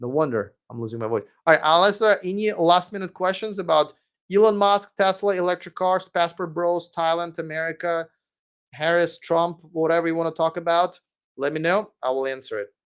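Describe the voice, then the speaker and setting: Male streamer host, slightly high voice, hoarse, chatty and meandering. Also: a little fast. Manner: rambling, many fillers and restarts. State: losing his voice. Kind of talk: casual live stream Q&A.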